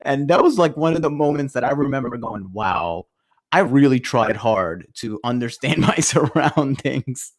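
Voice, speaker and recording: A man who grew up in Italy with Italian as his first language, co-hosting a podcast and telling stories in a lively way, laughing as he goes. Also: medium pitch, moderate pace. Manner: animated storyteller, laughs while telling stories. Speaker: podcast co-host. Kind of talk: casual chat.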